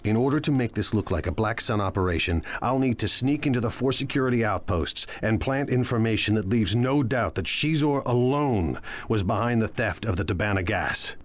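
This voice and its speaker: An actor playing a bold, scheming criminal leader in a video game, commanding and self-assured. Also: male voice, lowish voice, animated, moderate pace, few pauses.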